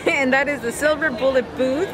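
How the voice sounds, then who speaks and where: Higher-voiced young woman vlogging amid loud crowds. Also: brisk. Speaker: food vlogger. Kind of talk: casual chat, reviewing food on camera. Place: outdoors at night in a busy theme park. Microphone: handheld camera at about arm's length. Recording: loud crowd and music behind.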